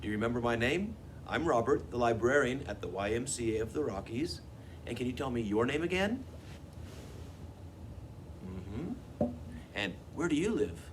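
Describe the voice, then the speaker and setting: A man's voice: mid-range voice, expressive, normal speed, long pauses, a little hiss or hum. An American man, a librarian hosting a children's storytime, who talks to young listeners and puts questions to them. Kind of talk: children's storytime with songs and read-aloud.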